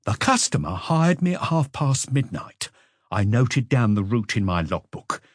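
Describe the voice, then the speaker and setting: A man's voice: medium pitch, very animated, measured pace, no echo, clean studio sound. A man voicing a plain-spoken male taxi driver who gives blunt, factual testimony in an audiobook.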